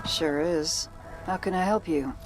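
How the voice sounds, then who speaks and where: An actress playing a weary, wry bounty hunter in a video game, speaking in a dry, folksy, sardonic way with a low gravelly voice. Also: female voice, measured pace, soft background music, close to an everyday voice, low-quality recording. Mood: businesslike, wry. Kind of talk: video game journal narration and dialogue.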